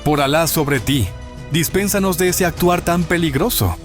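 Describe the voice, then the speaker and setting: A man's voice: on the high side, normal speed, soft background music, lightly acted. A man playing a wary, timid king in a dramatized audio story, with a formal and pleading delivery. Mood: pleading, fearful.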